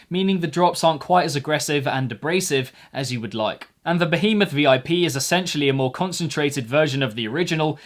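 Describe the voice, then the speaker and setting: A man delivering a semi-scripted spoken music review as the reviewer, articulate and opinionated in his phrasing. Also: on the high side.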